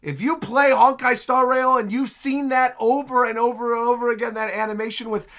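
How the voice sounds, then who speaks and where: A man hosts a casual reaction video with excitable commentary, often repeating words for emphasis. His voice is high and bright with some fry, over faint music. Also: fairly quick.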